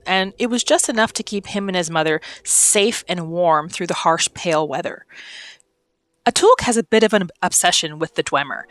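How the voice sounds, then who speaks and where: A woman's voice: lowish voice, a bit bright. A woman narrating a character's backstory for a video game roleplay in a scripted storytelling style.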